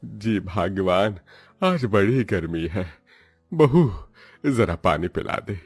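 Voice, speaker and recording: A man's voice: lowish voice, animated, slow pace, breathy edge, no echo, soft background music, exaggerated voice. A man playing a humble, weary old laborer and family elder in a cartoon story. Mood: weary, sorrowful.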